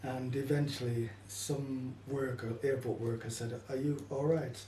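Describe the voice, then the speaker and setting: Mellow older man reminiscing, echoey room. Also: mid-range voice, level tone, measured pace. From Caribbean, then England from childhood. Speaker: interviewee. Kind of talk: personal storytelling in an interview. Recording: echoey room, distant mic, faint noise.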